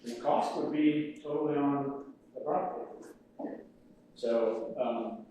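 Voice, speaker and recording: A man's voice: mid-range voice, warm tone. Guy giving a report at a town board meeting, lots of ums, way off the mic, big echo, light hiss. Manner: halting, explains step by step with many fillers. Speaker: city official reporting to the board. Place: municipal meeting room. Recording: very echoey room, distant mic, faint hiss.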